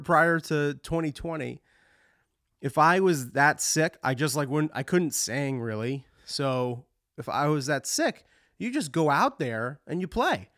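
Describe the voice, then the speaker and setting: A man's voice: fairly high pitch, fairly bright tone, dry recording, studio quality. A touring musician hosting his own show, talking in a rambling, candid way and swearing often, his voice congested from a cold. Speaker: touring musician and podcast host. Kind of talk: casual monologue.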